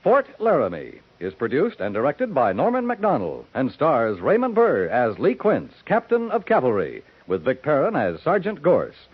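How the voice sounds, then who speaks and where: A male announcer giving credits and a promo in a measured, formal 1950s radio style. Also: medium pitch, huge pitch swings. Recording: dull 1950s radio broadcast recording with music.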